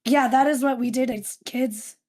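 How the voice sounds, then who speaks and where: A woman's voice: high-pitched, steady tone, moderate pace, a little mellow. A woman chatting casually on a podcast, with a wry, teasing way of talking. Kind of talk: casual chat.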